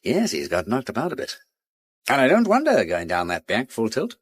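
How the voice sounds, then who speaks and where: An actor playing a breezy, curious gentleman sleuth with an upper-class English accent and jaunty old-fashioned slang, in a radio drama. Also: medium pitch, huge pitch swings, normal speed, husky, heavily acted. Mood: inquisitive, chipper.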